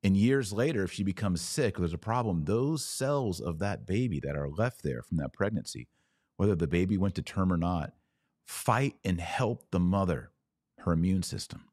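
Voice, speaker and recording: A man with a low voice hosts a religious podcast in an informal, emphatic way. His pitch moves in lively swings as he stresses and repeats key phrases. The sound is close and dry. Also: normal speed, dry recording.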